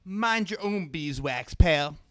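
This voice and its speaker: A man's voice: fairly high pitch, lively intonation, slow pace, sharp tone, heavily acted. A man playing an indignant, confrontational member of an outlaw band in a comic radio play. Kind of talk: radio-play comedy acting.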